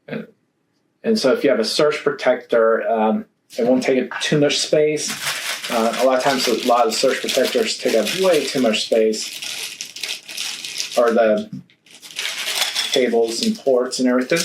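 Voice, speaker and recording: Slow-talking man in an echoey room. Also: mid-range voice, fairly even intonation. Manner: halting, thinking aloud. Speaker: product reviewer. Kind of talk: casual hands-on unboxing and review commentary. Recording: roomy echo, faint background noise.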